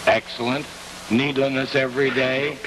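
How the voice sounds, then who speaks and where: An American man answering as an executive guest in a 1950s television interview, in earnest, measured short phrases. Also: mid-range voice, level tone. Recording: distant, noisy 1950s television broadcast.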